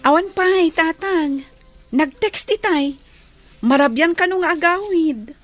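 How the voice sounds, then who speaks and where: A woman's voice: very high voice, slow, dry recording, soft background music. A woman playing a dutiful daughter of the household in an audio drama. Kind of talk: Ilocano audio drama acting.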